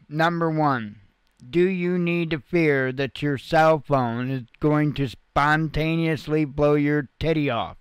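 A man's voice: on the high side, animated, slow, smooth, no echo, clean studio sound. A man giving informal news commentary as a channel host, explaining things in a folksy, plain-spoken way.

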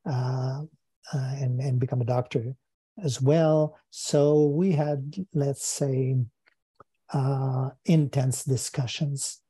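An older man from Quebec with a Quebec French accent, a native French speaker, answering interview questions over a video call from his study, thoughtful and halting, often repeating words. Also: medium pitch, flat delivery, mellow, slightly rough, dry recording. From Quebec, Canada. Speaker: guest philosopher. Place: home study with bookshelves. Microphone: earphone mic on video call.

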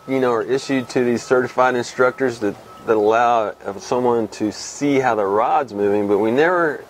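A man in his fifties from Texas hosting a casual weekly fly fishing report to camera, relaxed and rambling with many pauses, on a lapel mic outdoors. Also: medium pitch, a little hiss or hum, low-quality recording. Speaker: fly fishing show host. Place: outdoors under a shed with corrugated metal wall.

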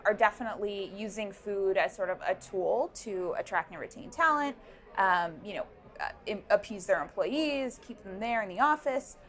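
A young woman, a founder, answering interview questions at an indoor event with a lapel mic, thoughtful and full of ums and restarts. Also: medium pitch. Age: young adult. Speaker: startup founder. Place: busy indoor networking event.

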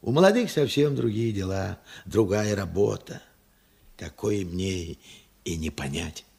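An actor playing a regretful, stubborn old working man in a radio play, plain-spoken and reflective, with halting speech. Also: low-pitched, animated, a little mellow, exaggerated voice. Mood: wistful, regretful. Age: elderly. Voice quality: husky, creaky old voice. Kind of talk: Soviet radio play acting.

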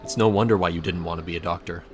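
A man's voice: low-pitched, steady tone, rapid-fire, faint music behind. A man reading a scripted second-person story aloud as its narrator.

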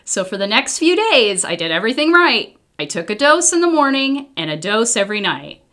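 A middle-aged American woman reading a children's book aloud in an expressive, deliberate way. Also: a little high. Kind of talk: read-aloud storytelling, scripted. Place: small indoor room, wall covered in papers.

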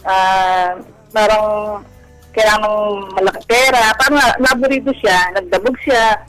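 A woman's voice: medium pitch, a little slow, a bit bright, rough recording. Woman calling into radio show, telling her story in bits n pieces, stop-start, faint music behind. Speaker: caller to a radio advice show. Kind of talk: casual personal storytelling.

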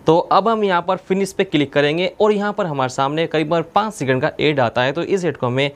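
A man's voice: on the high side, fairly quick. A young man presenting a tech tutorial step by step, in a methodical, instructional manner. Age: young adult. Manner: instructional, repeats points methodically. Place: indoor studio with plain blue backdrop.

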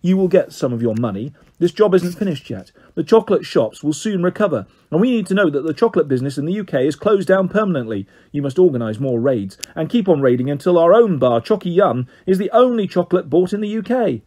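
A man reading as a female Bichon Frise crime boss. She speaks slowly and calmly, with polished politeness. Her voice sits a little high and lilts as she gives orders. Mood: commanding. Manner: slow, calm, polite.

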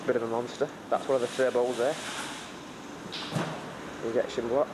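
Bloke filming a car workshop tour on a camcorder, dry jokes, loads of loud shop noise behind him. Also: male voice, mid-range voice, flat delivery, moderate pace. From United Kingdom. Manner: chatty, pointing things out with dry humour. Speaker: visitor filming a factory tour. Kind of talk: casual handheld tour commentary. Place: large indoor car workshop. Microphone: handheld camcorder mic. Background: workshop and engine noise.

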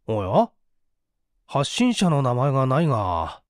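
A man's voice: mid-range voice, wildly swooping pitch, takes it slowly, dry recording, clean studio sound. Man as a blunt, dismissive husband. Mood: puzzled. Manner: blunt, brusque masculine speech. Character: gruff, dismissive husband and father.

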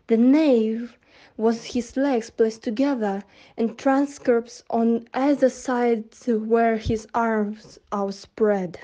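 A young woman reads literary prose at an unhurried pace. Her voice sits a little high with a mellow tone, and the recording is dull and lo-fi. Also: steady tone. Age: young adult. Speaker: student reader. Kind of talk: reading literary prose aloud. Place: indoor room in front of curtains.

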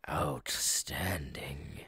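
A male voice actor playing a menacing, giggling, unhinged killer clown monster in a horror ASMR roleplay, raspy and gravelly, sliding between breathy whispers and cackles. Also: a bass voice, extremely slow, bright, forceful tone, dry recording, full character voice. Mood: unhinged, simmering.

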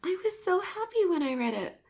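High-pitched, shy, flustered schoolgirl crush, played by a woman. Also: sing-song, brisk, darkish tone, heavily acted. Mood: gushing, excited. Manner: bashful stammering, breathy whispered asides. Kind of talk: romantic roleplay voice acting.

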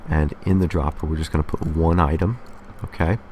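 A man with a remarkably deep, low-pitched voice presents a casual game tutorial. He explains each step methodically, pausing to confirm before moving on. A light layer of noise sits behind his voice. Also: a little mellow. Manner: methodical, explanatory, checks in with "okay". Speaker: tutorial host.

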